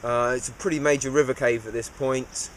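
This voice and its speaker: A man's voice: mid-range voice, fairly quick, a little hiss or hum. A man, recapping a cave dive in an easygoing, understated way with lots of fillers. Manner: relaxed, understated, many "uh" fillers. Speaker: cave diver. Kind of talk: interview recap.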